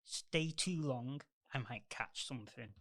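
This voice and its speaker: A man playing a selfish, bitter older businessman with a gruff, sneering manner in an amateur radio play. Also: mid-range voice, a little slow, a bit bright. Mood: scornful.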